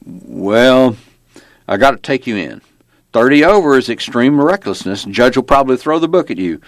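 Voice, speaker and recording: A man voicing a belligerent, awkward rural cop in an audiobook, loose diction and a folksy, halting delivery. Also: mid-range voice, animated, no room sound, pitched above the performer's own voice, lightly acted. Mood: smug, insistent. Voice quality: slurred, far from crisp diction.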